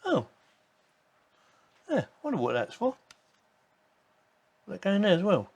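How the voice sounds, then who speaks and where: A man's voice: high-pitched, wildly swooping pitch, a little slow, vocal fry, slightly rough. A man giving a casual hands-on unboxing and review, informal and rambling as he thinks aloud. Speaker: host of a tech review channel.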